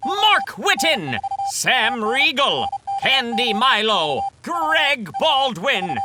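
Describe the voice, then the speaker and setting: A woman's voice: a little high, sing-song, drawn-out words, heavy background noise. A woman reading scripted credits as an announcer in a retro radio style. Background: loud music.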